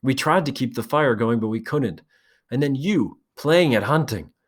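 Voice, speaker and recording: Storybook role: a determined boy leader, forceful and raised, read by a man. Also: fairly low pitch, expressive, moderate pace, voice pushed up. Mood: insistent. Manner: forceful, insistent. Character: earnest, angry boy chief.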